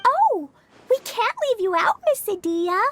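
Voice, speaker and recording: A woman's voice: very high voice, sing-song, unhurried, full character voice. A woman voicing a cheerful, innocent little girl in a video game, bubbly and earnest. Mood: excited. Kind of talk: video game cutscene voice acting.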